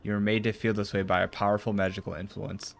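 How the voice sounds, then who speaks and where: A man's voice: lowish voice, little pitch movement, quick pace, vocal fry. A man streaming a video game, reading its formal cutscene dialogue aloud with some stumbles and casual reactions.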